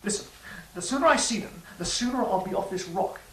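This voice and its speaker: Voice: mid-range voice, lively intonation, fairly quick, slight background noise, close to an everyday voice. An actor as a sly serpent, roomy and distant. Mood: sardonic. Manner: sardonic, smug, needling. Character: the Serpent of Eden, sly, sardonic and insistent. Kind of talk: stage-style audio drama acting.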